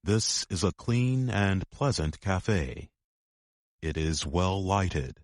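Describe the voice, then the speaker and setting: A man reading a quoted literary passage aloud in a measured style. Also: low voice, expressive, no echo, studio quality.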